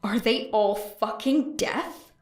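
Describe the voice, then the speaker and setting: A woman voicing a guarded, sardonic teenage girl who speaks bluntly and flatly, in a storybook audio reading. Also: on the high side, slow, no room sound. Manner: blunt, flat, through clenched teeth.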